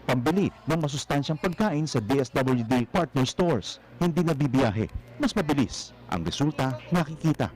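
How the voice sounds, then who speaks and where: A man's voice: medium pitch, expressive, normal speed, a little mellow. A man with a Filipino accent reading scripted narration for a news feature.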